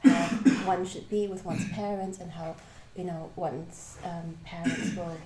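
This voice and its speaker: A woman from Malaysia, teaching a live Buddhist class and asking the group questions, pausing often with ums and restarts. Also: fairly low pitch, fairly even intonation, dark tone. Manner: hesitant, many ums and restarts. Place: shrine room with a live class. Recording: roomy hall ambience.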